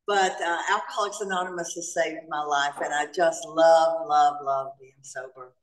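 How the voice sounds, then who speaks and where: A woman tells her story to a room full of people, and her voice sits somewhat low for a woman. She jokes as she goes, a little away from the microphone, and the church hall echoes around her. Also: fairly even intonation, moderate pace, far from the mic. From North Carolina. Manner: humorous storytelling, laughs often. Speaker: AA meeting speaker. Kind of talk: personal recovery story. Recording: echoey hall, speaker off-mic.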